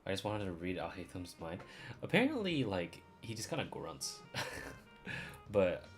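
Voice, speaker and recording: A woman's voice: a bass voice, big pitch swings, moderate pace, faint music behind. A woman giving casual, unscripted live commentary as a game streamer, with loose phrasing and some swearing. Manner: casual, swearing, filler-heavy.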